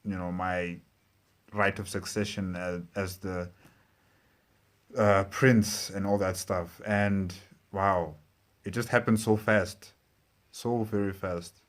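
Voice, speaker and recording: A man giving casual reaction commentary as a show host, speaking haltingly and repeating phrases. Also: low voice, steady tone.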